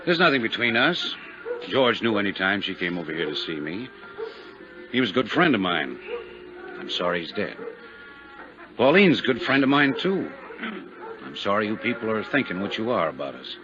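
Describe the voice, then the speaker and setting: A man's voice: fairly low pitch, very animated, normal speed, pauses often, music in the background. An actor in a 1950s radio drama playing a proud rancher in his thirties, blunt, forthright and defensive. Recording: dull, noisy 1950s radio recording.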